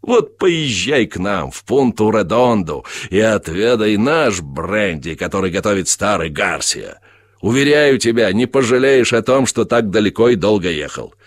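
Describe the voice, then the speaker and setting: A man's voice: lowish voice, sing-song, normal speed, dry recording. A man voicing a hearty, blunt, exclamatory brother back from far travels, teasing his friend in an audiobook story.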